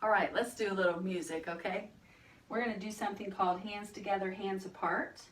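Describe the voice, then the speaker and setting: A woman's voice: mid-range voice, steady tone, brisk, background noise. A woman leading storytime for preschoolers, speaking in an encouraging singsong with short, simple phrases. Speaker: library storytime leader for preschoolers. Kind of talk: children's storytime reading and guided action song.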